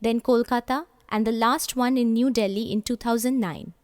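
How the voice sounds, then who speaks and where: A woman giving formal, measured scripted narration for a promotional video. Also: a little high, steady tone, darkish tone, no room sound, studio quality.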